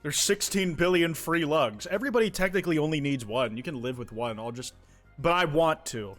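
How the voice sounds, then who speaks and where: Young male streamer, a touch high-pitched, loose rambling delivery full of profanity; faint music under him. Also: brisk, a bit bright. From United States. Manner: rambling, profane, many restarts. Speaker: streamer reading viewer confessions. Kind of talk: casual live-stream chat.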